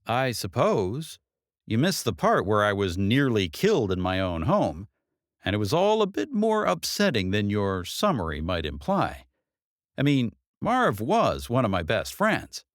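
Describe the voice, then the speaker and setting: A man's voice: lowish voice, huge pitch swings, a little slow, no echo, studio quality. A man voicing a wry, defiant woman under threat in an audiobook, plain-spoken and guarded. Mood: guarded, upset.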